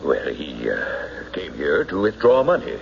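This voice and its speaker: An actor playing a self-important yet hesitant small-town bank president in an old radio drama. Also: male voice, rumbling low, wildly swooping pitch, measured pace, creaky, exaggerated voice. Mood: reluctant. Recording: muffled vintage radio recording, faint noise.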